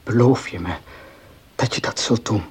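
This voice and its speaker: Devoted, sorrowful husband for period radio drama: low, very husky, earnest and emotional. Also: male voice. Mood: earnest, pleading. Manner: slow, hushed, earnest, emotional.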